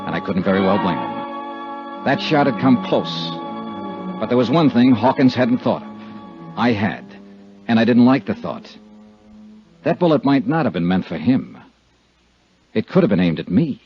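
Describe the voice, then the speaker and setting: An actor playing a shrewd, hard-boiled insurance investigator in a 1950s American radio drama, speaking in terse, clipped lines. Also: male voice, on the low side, very animated, moderate pace, long pauses, over music. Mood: grim, wry. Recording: muffled 1950s radio recording.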